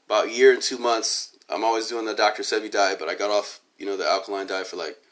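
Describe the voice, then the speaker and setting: A hoarse man with a cold, rambling through a self-recorded phone commentary with lots of fillers and restarts while he clicks through web pages. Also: fairly low pitch, flat delivery, fast talker, a bit bright. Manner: tangential, many fillers and restarts. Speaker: DJ giving his side of a dispute. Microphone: phone held up at arm's length.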